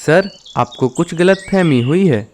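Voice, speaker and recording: A man's voice: on the high side, animated, measured pace, a little hiss or hum. A man voicing a deferential, placating crow who works as a school principal in a Hindi cartoon. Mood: puzzled, placating. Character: polite, sensible male crow school principal.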